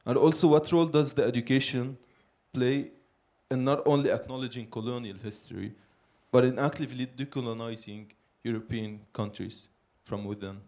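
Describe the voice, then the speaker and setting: A man's voice: mid-range voice, fairly even intonation, moderate pace, hardly any pauses. A young man from Lebanon asking a polite, carefully worded question from the audience at a lecture. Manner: soft-spoken, polite, careful. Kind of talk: question from the floor. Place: lecture hall.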